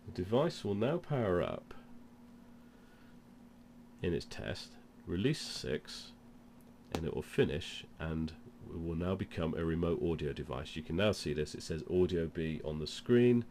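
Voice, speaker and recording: Male demo presenter, deep register, has a cold, informal step-by-step instruction, noisy recording. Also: big pitch swings, measured pace, darkish tone. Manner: step-by-step explaining. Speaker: presenter of product demonstration.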